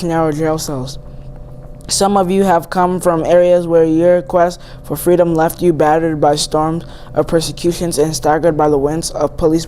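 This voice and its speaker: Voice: medium pitch, a little mellow. A young teen student reading a famous speech aloud. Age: young teen, seventh grader. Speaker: student reader. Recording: noisy amateur recording.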